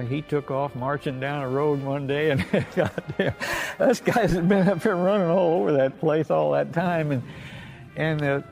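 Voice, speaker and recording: Old american guy telling war memories, creaky gravelly voice, folksy, music underneath. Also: a little high, rough recording. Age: older. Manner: folksy, plain-spoken. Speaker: World War II veteran recounting memories. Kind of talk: unscripted oral-history reminiscence. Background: music bed.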